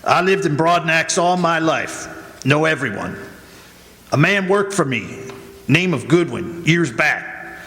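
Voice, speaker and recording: A man's voice: on the high side, big pitch swings, slow pace, long pauses, bright, forceful tone, exaggerated voice. An actor playing a blunt, impatient small-town man with clipped, folksy speech in a 1940s-style radio drama. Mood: brisk, blunt. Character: blunt, impatient small-town planter who trusts facts. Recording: live stage, long hall reverb.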